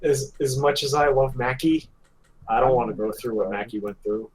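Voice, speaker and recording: A man's voice: medium pitch, flat delivery, normal speed, a crowd in the background, poor audio. A man co-hosting a podcast in casual group chat, joking and teasing. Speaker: podcast co-host.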